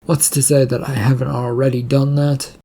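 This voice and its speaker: Man playing a weary, husky first mate. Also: mid-range voice, level tone, normal speed, mellow. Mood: stubborn. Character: weary, stubborn male second-in-command of a ship.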